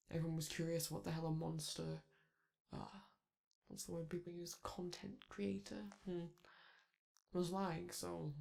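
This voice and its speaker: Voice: medium pitch, gravelly. A performer voicing a flamboyant male robot TV star, vain and charming, who talks theatrically and flirts with the listener in a romantic audio roleplay.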